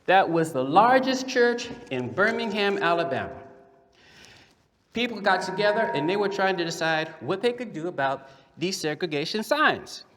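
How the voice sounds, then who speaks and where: A man voicing a lively, cheeky young boy puppet, telling a story to children in an emphatic, conversational theatrical style with deliberate pauses. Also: sits high, slight background noise. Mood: explanatory. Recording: echoey hall, long reverb.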